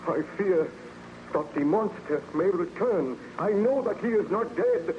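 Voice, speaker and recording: A haunted young nobleman in a vintage radio play, anxious and halting. His delivery swings widely in pitch with melodramatic urgency. The old recording is muffled and thick with noise. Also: expressive. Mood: anxious, urgent. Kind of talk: old-time radio drama acting.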